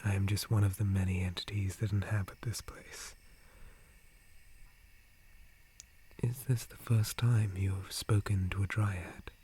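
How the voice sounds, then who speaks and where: A man voicing a gentle, polite dryad guardian in a breathy, formal whisper for an ASMR roleplay. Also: on the low side, level tone, moderate pace, a little hiss or hum, close to an everyday voice. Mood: gentle, concerned. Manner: formal, courteous, hesitant, softly hushed. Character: gentle, polite male dryad, forest guardian tree spirit.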